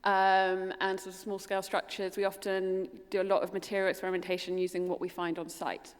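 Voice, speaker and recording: A woman's voice: medium pitch, monotone, a little fast, smooth. A woman with a London accent giving a keynote talk as an architect, casual and full of um and kind of. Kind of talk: conference presentation. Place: lecture hall at a forum. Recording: long hall reverb.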